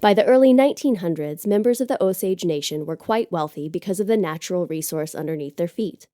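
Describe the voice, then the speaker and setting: A woman reading a scripted story aloud as a narrator, measured and articulate. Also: lowish voice, lively intonation, dark tone, no echo, clean studio sound. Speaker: guest story reader.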